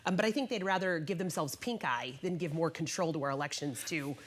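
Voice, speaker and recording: A woman's voice: deep voice, a little fast. An American woman giving conversational political commentary on a panel, emphatic and colloquial in how she talks.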